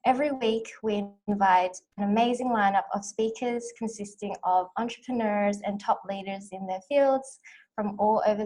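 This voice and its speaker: A woman's voice: mid-range voice, rough recording. A young woman from Australia in her twenties, hosting an online conference on a video call from a small room, guiding a breathing exercise and welcoming everyone. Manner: calm, soft counting, warm welcoming. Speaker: conference host. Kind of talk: guided breathing, then welcoming talk. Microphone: video call webcam.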